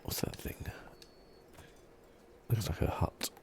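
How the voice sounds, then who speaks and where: A man giving relaxed, soft-spoken, low-key ASMR commentary as he plays a video game, close to the mic. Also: slow. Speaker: gaming ASMR host.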